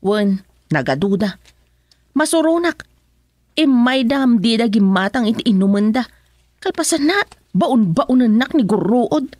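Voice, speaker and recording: Nagging Ilocano village wife acted by a woman, high-voiced. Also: expressive, measured pace, darkish tone, no room sound, clean studio sound. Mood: complaining, worried. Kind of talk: Ilocano radio comedy-drama acting.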